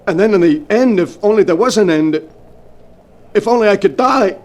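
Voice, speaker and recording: A man's voice: high voice, animated, normal speed, a little mellow. A man acting a desperate, tormented gangster in 1940s radio-drama style, stammering and panicky.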